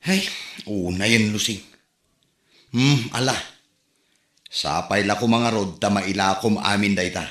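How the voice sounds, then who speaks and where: A man playing a skeptical Ilocano rural husband who questions loudly. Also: fairly low pitch, a little slow, long pauses, echoey room. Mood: grumbling. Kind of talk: comedy drama acting.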